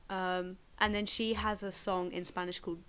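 A woman's voice: mid-range voice, little pitch movement, normal speed, fairly bright tone, clean tone. A young woman, chatting on a podcast in a rambling way full of fillers. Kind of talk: casual chat.

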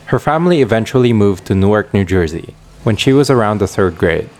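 A man narrating a documentary feature in a clear, storytelling manner. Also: lowish voice, expressive, a little fast, darkish tone, a little hiss or hum.

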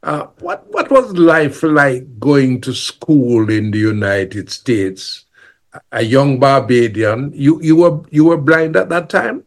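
A man's voice: medium pitch, animated, takes it slowly. A man hosting a radio interview, asking prompting questions and often murmuring agreement. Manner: prompting questions, frequent backchannel.

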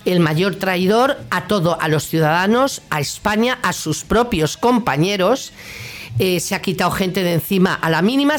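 Female radio host, Castilian Spanish accent, mid-pitched voice; indignant, sarcastic, colloquial political commentary. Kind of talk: political opinion monologue.

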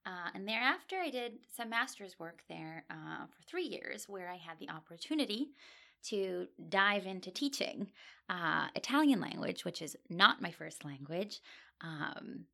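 Young woman guest, low gravelly voice, thoughtful and a bit halting. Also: very animated, sharp tone. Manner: thoughtful, many fillers and restarts. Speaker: graduate student guest. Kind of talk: conversational interview answers.

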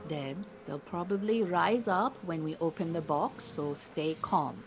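A woman playing a firm, measured beekeeping teacher in an audio drama, giving instructions. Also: lowish voice, a little hiss or hum. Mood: calm, instructive.

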